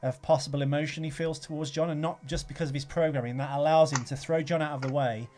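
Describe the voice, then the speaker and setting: A man's voice: a little high, fairly even intonation, quick pace, darkish tone. A man co-hosting a podcast, chatting casually about films. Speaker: podcast co-host. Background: background music.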